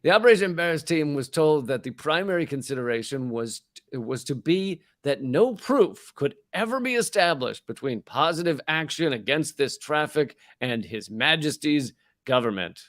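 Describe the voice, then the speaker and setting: A man's voice: fairly high pitch, very animated, moderate pace, no room sound, studio quality. A man co-hosting a comedy podcast, rambling in a wry tone and laughing between lines. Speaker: podcast co-host. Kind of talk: casual comedy chat.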